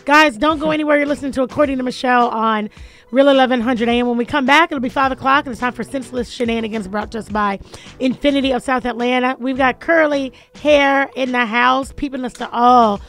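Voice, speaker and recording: A woman with a raspy voice, hosting a talk radio show with playful, jokey banter. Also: high-pitched, steady tone, fast talker, fairly bright tone.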